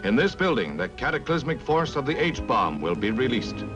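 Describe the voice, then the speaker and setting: A man's voice: mid-range voice, big pitch swings, moderate pace, dull audio. 1950s American newsreel man, music blaring. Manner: dramatic announcer delivery. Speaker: newsreel narrator. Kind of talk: 1950s newsreel scripted narration. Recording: vintage 1950s newsreel soundtrack.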